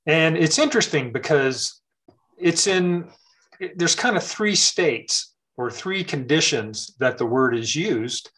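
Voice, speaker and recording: A man's voice: fairly high pitch, lively intonation, takes it slowly, a bit bright. Reflective man talking faith, full of fillers. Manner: thoughtful, many fillers and restarts. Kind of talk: casual faith conversation.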